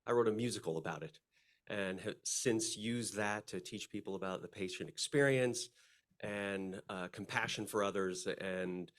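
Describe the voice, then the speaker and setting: A man with a lowish voice is introducing himself to a group. He speaks calmly and earnestly. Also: moderate pace. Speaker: musician, new member. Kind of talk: brief self-introduction.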